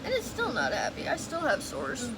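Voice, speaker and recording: A woman's voice: sits high, wildly swooping pitch, moderate pace. A young woman vlogging casually at home, chatty and rambling, with a stuffed-up nose. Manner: chatty, rambling, self-correcting. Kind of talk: casual vlog chat. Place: bedroom at home with AC and fan running. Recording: loud fan and AC noise behind.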